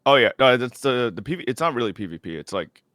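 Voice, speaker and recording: A man's voice: medium pitch, very animated, fast talker, fairly bright tone. A man in his thirties, a guest on a casual chat, stammering in a laid-back way. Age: 30s.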